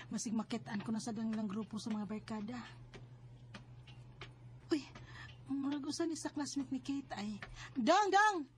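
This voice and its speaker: A woman's voice: a little high, a little hiss or hum. A woman with a Cebuano Filipino accent playing a protective, scolding married older sister in a radio drama. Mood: searching. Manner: nagging, emphatic. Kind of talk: Bisaya radio drama acting.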